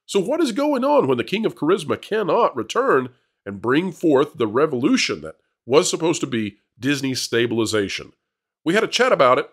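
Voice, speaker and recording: A man's voice: fairly high pitch, big pitch swings, moderate pace, husky. A man hosting commentary and panel talk, with an emphatic, wordy presenter's delivery. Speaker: host of an entertainment business news channel.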